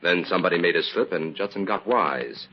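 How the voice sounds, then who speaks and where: An actor playing a calm, shrewd crime investigator in an old-time radio drama, explaining things crisply and confidently. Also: male voice, sits low, a little fast. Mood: explaining, serious. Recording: muffled, hissy old radio recording.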